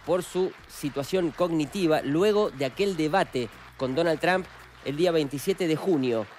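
A man's voice: on the high side, normal speed, darkish tone, a little hiss or hum. A man with an Argentine Spanish accent narrating news footage in a conversational way, with frequent fillers. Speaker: news presenter. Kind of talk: news commentary over footage.

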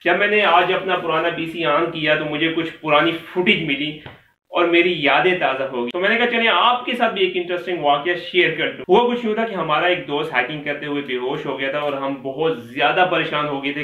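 A man's voice: a little high, a little fast. A man in his thirties with a Pakistani accent, telling a story casually to the camera in a small room at home, gesturing as he talks. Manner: chatty, animated with hand gestures. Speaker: vlogger. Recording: echoey room, dull lo-fi sound.

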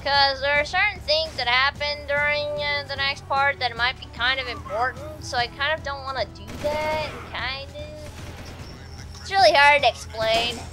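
A woman's voice: high-pitched, steady tone, a little slow, music in the background. A woman hosting a let's play, rambling and giggling to herself as she plays, a bit congested from allergies. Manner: rambling, self-chatting, giggly. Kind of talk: casual gameplay commentary.

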